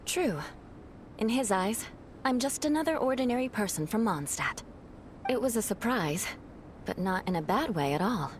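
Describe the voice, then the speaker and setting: A woman's voice: medium pitch, expressive, normal speed, a little hiss or hum, natural voice. An actress playing a reserved, aristocratic female knight captain who speaks formally and with composure. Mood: reflective. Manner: formal, composed, slightly aloof.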